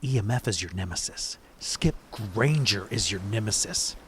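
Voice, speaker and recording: An actor playing an earnest, lovestruck male secret agent in a comedic audio drama, husky and emphatic, with a pleading manner. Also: fairly low pitch, lively intonation, normal speed, fairly bright tone, slight background noise. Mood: pleading, heated.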